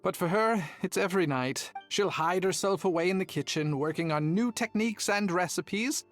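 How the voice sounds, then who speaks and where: Earnest kitchen guy, man voicing him, pretty high voice, soft music under. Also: moderate pace, lightly acted. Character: male kitchen worker, earnest and loyal. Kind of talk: game voice acting. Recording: game audio with soft music.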